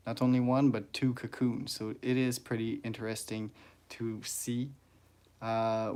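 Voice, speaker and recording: Male streamer, French first language, unhurried mid-pitched casual talk, halting with fillers. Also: a little mellow. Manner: halting, many "uh"s and restarts, greets chat viewers. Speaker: ant-keeping livestreamer. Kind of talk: casual livestream chat.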